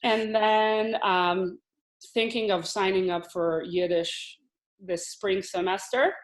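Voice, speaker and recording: A woman in her thirties, a language teacher, speaks about her life in an easy, chatty way and laughs easily. Her voice sits at a middle pitch. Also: steady tone, slow pace, rough recording. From Israel. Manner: chatty, laughs often, with restarts. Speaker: Hebrew teacher, guest. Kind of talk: casual conversational interview.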